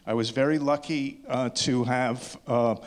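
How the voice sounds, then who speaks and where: A man, a filmmaker speaking informally and haltingly to an audience at a screening, often restarting his words. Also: medium pitch, steady tone. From Canada. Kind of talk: informal unscripted speech to an audience.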